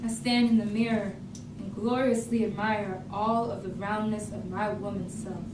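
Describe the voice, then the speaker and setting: A woman's voice: mid-range voice, level tone, moderate pace, natural voice. A woman in a staged reading playing a proud, self-loving warrior daughter in an incantatory spoken-word style. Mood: proud, celebratory. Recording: distant, echoey, noisy room.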